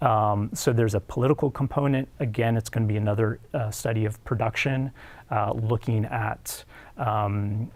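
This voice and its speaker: A man's voice: lowish voice, fairly even intonation, moderate pace, darkish tone. A man, a film studies professor in his thirties, answering interview questions in a hesitant way with many fillers and restarts. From United States. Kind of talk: academic interview.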